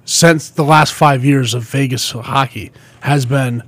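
A man's voice: mid-range voice, fairly even intonation, measured pace, husky, no echo. A man hosting a casual sports talk radio show from a studio, upbeat as he plugs the show and introduces his co-hosts.

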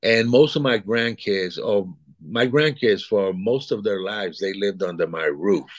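Man, 60s, from Puerto Rico; low-pitched, meandering guest talk, dry sound. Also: unhurried, no echo, dull audio. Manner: rambling, repeats words, chuckles between thoughts. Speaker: guest artist. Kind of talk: casual interview chat.

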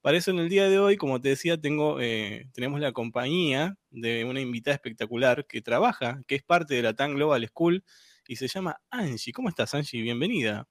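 A man's voice: medium pitch, animated, a little fast, dry recording, studio quality. A man hosting a podcast, talking loosely with many filler words. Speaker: podcast host. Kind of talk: casual podcast interview.

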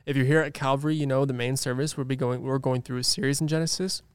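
A young man in his early twenties with a smooth, clear, resonant voice of middle pitch. He co-hosts a church podcast with an upbeat, playful manner, and the recording is close and dry. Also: steady tone, fast talker, dry recording. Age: 20s. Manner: upbeat, reading notes aloud, playful teasing. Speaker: podcast co-host. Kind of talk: casual chat.

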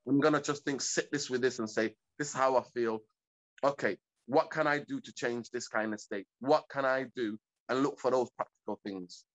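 A man's voice: medium pitch, moderate pace, rough recording. A man from East Africa talking reflectively in a casual conversation, rambling and often checking in with "you know what I mean". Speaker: guest.

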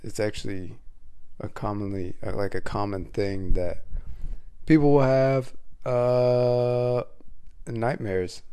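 A man's voice: on the low side, flat delivery, takes it slowly, dark tone, clean tone. Scratchy-voiced man rambling on his podcast. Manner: rambling, frequent restarts and fillers. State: scratchy voice from a cold. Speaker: podcast host; waiter. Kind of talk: casual solo podcast monologue.

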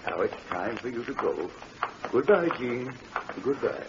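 An actor playing a kindly old morgue keeper who speaks with the dead, in a soft, earnest, patient manner, in 1940s radio drama style. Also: male voice, mid-range voice, very animated, unhurried. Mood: tender. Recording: dull old radio recording in a reverberant studio, faint hiss.

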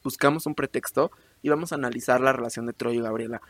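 A woman's voice: very deep voice, fast. A young woman with a Mexican accent, chatting casually as a podcast co-host, teasing and wandering off topic in slangy speech. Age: young adult. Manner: animated, teasing, digressive, slangy. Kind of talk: casual bantering chat with a friend.

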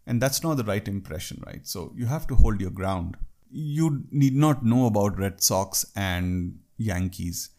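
A man with an Indian English accent gives a talk on working with foreign clients. His voice sits fairly low, and he speaks in a loose, conversational way, dropping in wry asides. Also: animated, a little slow, warm tone. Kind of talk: talk on workplace culture.

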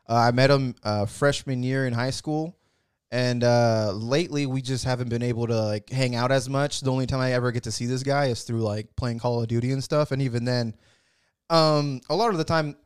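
A man's voice: mid-range voice, steady tone, brisk, smooth, no echo, studio quality. A young man co-hosting a casual podcast with a friend, laid-back and slangy, swearing casually. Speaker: podcast co-host. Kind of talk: casual chat.

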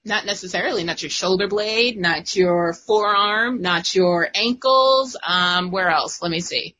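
Chatty young woman, bright but a bit husky, lots of likes and laughing. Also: mid-range voice, measured pace. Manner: chatty, laughs often, many fillers. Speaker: guest co-host. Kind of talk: casual chat.

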